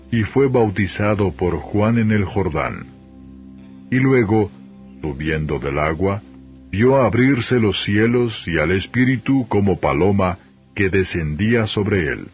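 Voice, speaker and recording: A man with a Castilian Spanish accent reads scripture as a solemn, measured, formal narration. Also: deep voice. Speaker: Bible reader. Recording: dull lo-fi audio, music behind. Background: music.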